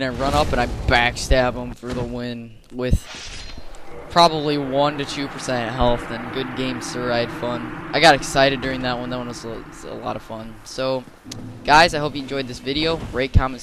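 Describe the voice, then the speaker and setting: A young man with a slightly hoarse, mid-pitched voice talks casually over his gameplay footage. He rambles and restarts his sentences like a regular channel host. Steady background noise sits clearly under the recording. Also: moderate pace. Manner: chatty, rambling, with fillers and restarts.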